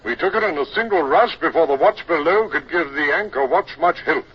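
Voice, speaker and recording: An actor playing a brisk, genial senior naval officer in a 1950s radio drama, with a hearty, authoritative manner and a husky voice. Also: male voice, fairly high pitch, expressive. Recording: dull old radio recording.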